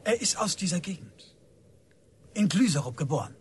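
Radio play sergeant, high voice, clipped, deferential, dutiful, faint background noise. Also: male voice, sing-song, normal speed, darkish tone. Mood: dutiful, stiff. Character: dutiful village police sergeant, stiff and deferential. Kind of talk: radio drama dialogue.

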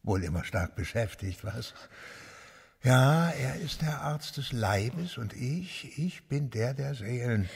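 An actor playing a stout, kindly but obtuse village priest in a radio drama, chatty and matter-of-fact, with a husky, gravelly voice. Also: male voice, medium pitch, animated, slow pace, full character voice. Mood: genial, chatty. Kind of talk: German radio drama acting. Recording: long echoing reverb.

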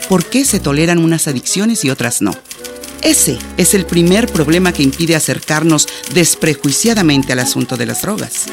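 A man acting in a radio play as an earnest lecturer, delivering a rhetorical monologue with emphatic, deliberate phrasing. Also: on the high side. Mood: wry, questioning. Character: earnest male lecturer arguing against drug prohibition.

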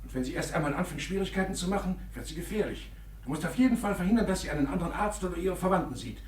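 An actor playing a nervous, scheming doctor in a murder plot in a 1950s German radio drama. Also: male voice, sits high, expressive, rapid-fire. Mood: urgent, plotting. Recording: roomy, distant, noisy old radio recording.

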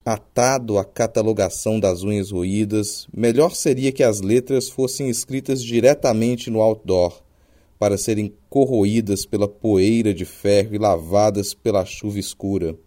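A man's voice: lowish voice, moderate pace, mellow. A man with a Brazilian accent, hosting a podcast with scripted, literary narration. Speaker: podcast host.

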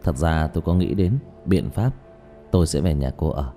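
A man playing a calm, matter-of-fact young male exorcist in an audiobook, speaking in a low-key, measured way. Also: faint music behind. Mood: calm, explaining. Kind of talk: audiobook character dialogue.

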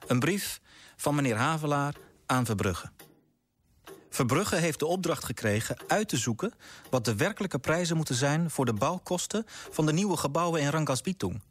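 An actor in a radio drama playing an earnest, idealistic clerk, formal and articulate, politely persistent. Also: male voice, a little high, animated, normal speed. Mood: grave, narrating.

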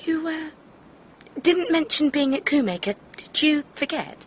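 An actress playing a confident, candid young woman in a radio drama, with a husky voice. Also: high-pitched, lively intonation, measured pace, a little hiss or hum.

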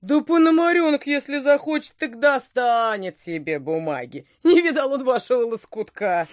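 An actress playing a feisty, indignant peasant housemaid who answers back sharply in an audio drama. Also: female voice, sits high, expressive, no room sound, studio quality. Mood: indignant denying. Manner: sharp-tongued, protesting, quick to answer back.

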